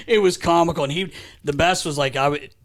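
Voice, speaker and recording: A man's voice: fairly high pitch, fairly quick, slight background noise. A man co-hosting a podcast, telling stories in a loose, slangy, repetitive way in casual chat. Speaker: podcast co-host. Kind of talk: casual chat, animated storytelling. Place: podcast studio.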